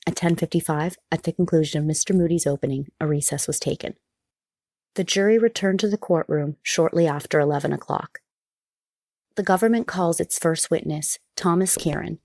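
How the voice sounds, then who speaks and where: A woman's voice: low-pitched, fairly quick, darkish tone, no echo, clean studio sound. A woman narrating a scripted courtroom drama in a formal, matter-of-fact manner.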